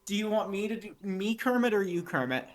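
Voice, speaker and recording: A man's voice: sits high, animated, a little fast, soft background music. A man co-reading a story with friends, chatting in casual comic banter. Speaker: co-reader and character voice.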